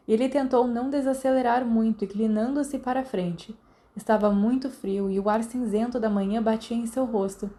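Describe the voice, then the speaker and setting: A woman's voice: mid-range voice, mellow. A woman with a Brazilian accent reading scripted audiobook narration. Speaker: podfic narrator.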